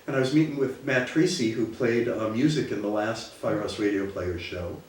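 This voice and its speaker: A man's voice: lowish voice, brisk, darkish tone. Man, from Minneapolis, theater owner, hesitant and reflective, distant mic, echoey. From Minneapolis, USA. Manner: thoughtful, searching for words. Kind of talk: reflective interview talk.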